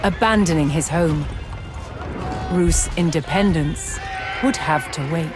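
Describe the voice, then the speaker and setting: A woman reading scripted documentary narration in a measured, dramatic storytelling manner. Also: low-pitched. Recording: music under the voice. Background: music.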